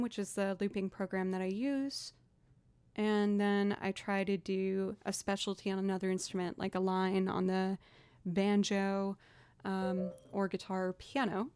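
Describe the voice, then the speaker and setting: Chatty low-voiced woman streamer. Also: little pitch movement, moderate pace, darkish tone, smooth, no room sound. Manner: chatty, rambling, self-deprecating. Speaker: streamer and musician. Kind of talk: casual chat with live chat.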